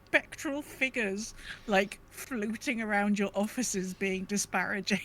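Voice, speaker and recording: A woman's voice: mid-range voice, unhurried, a bit bright. Woman, British accent, casual podcast co-host. Mid-range voice, faint noise behind it. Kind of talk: casual chat.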